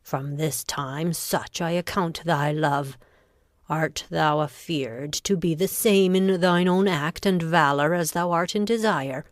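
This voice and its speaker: A woman playing a ruthless, commanding noblewoman in a Shakespearean audio drama, with deliberate, goading delivery of the verse. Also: deep voice, no echo, studio quality. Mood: scornful.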